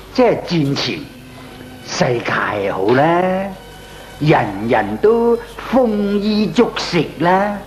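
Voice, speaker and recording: Old Cantonese storyteller, grandfatherly, wide swinging intonation, unhurried, comic radio play. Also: on the high side, full character voice. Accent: Hong Kong Cantonese. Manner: drawn-out, chuckling storytelling. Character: reminiscing thrifty old grandfatherly neighbour. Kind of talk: comic radio drama acting.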